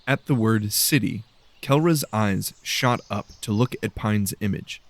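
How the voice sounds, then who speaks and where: Low, gravelly male story narrator. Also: unhurried, dry recording. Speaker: audiobook narrator.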